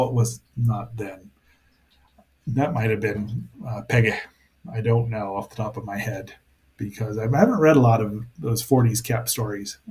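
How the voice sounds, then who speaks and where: Man giving halting, playful cartoon commentary. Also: lowish voice, a little mellow. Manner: halting, thinking aloud, playful asides. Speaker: host of a cartoon review show. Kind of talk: casual rambling commentary on a cartoon.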